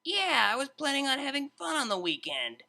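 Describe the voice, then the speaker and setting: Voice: high voice, very animated, normal speed, creaky, bright, forceful tone, pitched above the performer's own voice, full character voice. A narrator voicing a brash, sarcastic young female unicorn DJ who talks in a blunt, slangy way. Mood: sarcastic, grumbling.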